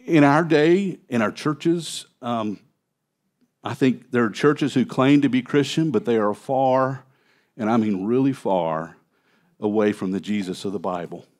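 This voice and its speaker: A man's voice: medium pitch, unhurried. Conversational man in his fifties preaching. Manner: conversational preaching, animated gestures at a lectern. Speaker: pastor. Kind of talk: expository sermon. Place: church stage, large indoor hall. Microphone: headset mic.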